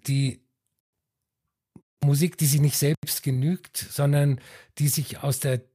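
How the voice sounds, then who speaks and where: A man hosting a podcast interview, asking measured questions with hesitant fillers. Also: mid-range voice, mellow, breathy edge.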